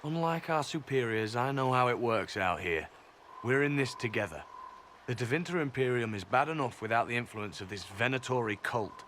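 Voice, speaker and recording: An actor playing an earnest, composed secret agent in a fantasy video game, measured and direct. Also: male voice, mid-range voice, lively intonation, a bit bright, a little hiss or hum, close to an everyday voice. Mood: dry.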